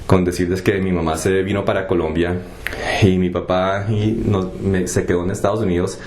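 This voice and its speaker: Relaxed low-voiced man telling his testimony. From United States. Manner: relaxed, halting, many fillers and restarts. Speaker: testimony vlogger. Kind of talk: casual personal testimony. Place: home kitchen.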